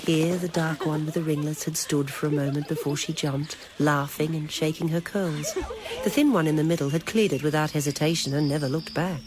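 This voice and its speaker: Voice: medium pitch, fairly even intonation, a little fast. Radio play narrator reading descriptive literary story bits, pretty noisy old recording.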